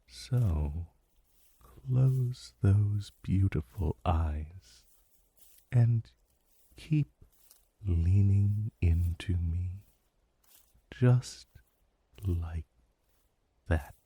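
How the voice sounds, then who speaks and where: Man playing a deep, very slow ancient dragon. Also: expressive, breathy edge, no echo, muffled recording, full character voice. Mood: soothing, seductive. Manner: slow, formal, seductive murmuring with lingering pauses. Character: ancient male dragon familiar, possessive, silkily tender, vaguely menacing. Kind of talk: romantic fantasy ASMR roleplay.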